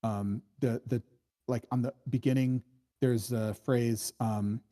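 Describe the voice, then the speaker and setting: A man's voice: medium pitch, steady tone, slow, mellow. A man giving casual spoken feedback on a screenplay, rambling and hedging, with many false starts as he thinks aloud. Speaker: screenwriting workshop critic giving script notes.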